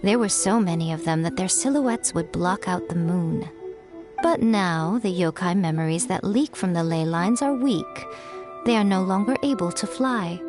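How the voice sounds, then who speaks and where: A woman's voice: fairly low pitch, normal speed, natural voice. A woman voicing a sly, teasing fox-spirit shrine priestess who speaks coyly and gives orders with ease, in a video game. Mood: explaining. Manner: playful and coy, smoothly commanding. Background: game music.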